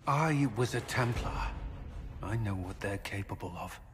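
A man's voice: fairly low pitch, lively intonation, unhurried, long reverb tail. An actor playing an earnest male military commander who speaks firmly and directly.

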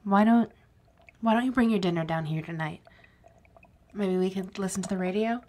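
A woman's voice: medium pitch, normal speed, darkish tone, natural voice. An actress playing a bitter, wounded woman kept alive as a severed head in an audio drama, with a cutting, sarcastic delivery. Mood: softened.

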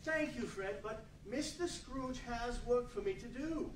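A woman's voice: on the high side, lively intonation, measured pace. A woman playing a poor, kind male office clerk in a staged radio play, timid and apologetic. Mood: meek, apologetic. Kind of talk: stage readers' theatre as 1940s radio play. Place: large indoor meeting room with seated audience. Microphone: far camera. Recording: echoey room from far off, faint noise.

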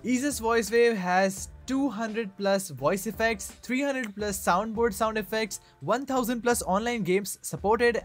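High-voiced man narrating over music. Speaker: tech reviewer, channel host. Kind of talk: scripted tutorial narration. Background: background music.

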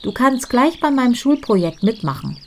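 Eine Frau, die ein eifriges, etwas bestimmendes junges Mädchen spricht, lebhaft und erklärend. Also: female voice, on the high side, very animated, moderate pace, a little hiss or hum, pitched above the performer's own voice. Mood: eager. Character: eager young girl gardener, bossy.

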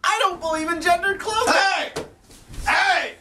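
A man's voice: extremely high pitch, unhurried, very noisy. A man in his thirties doing jokey, theatrical promo chat to camera as a streamer in a room at home. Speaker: streamer and voice actor promoting own channel. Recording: slightly distant mic, music behind.

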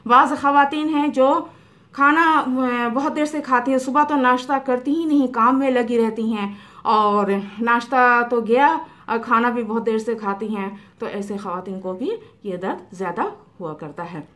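A middle-aged woman homeopathic doctor, explaining remedies to camera in an unhurried way with many fillers, in a small room. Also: fairly high pitch, a bit bright. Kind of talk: health advice talk to camera. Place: small indoor room by a curtained window.

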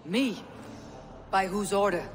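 An actress playing a steady, blunt female Viking warrior in a video game, speaking in a measured, deliberate way. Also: mid-range voice, lightly acted. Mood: guarded.

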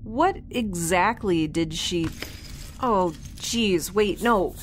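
An actress playing a flustered, sarcastic young woman scientist in a science fiction audio drama, with a halting, rambling, wry delivery. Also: on the low side, a little hiss or hum. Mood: exasperated, sarcastic. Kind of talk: naturalistic audio drama dialogue.

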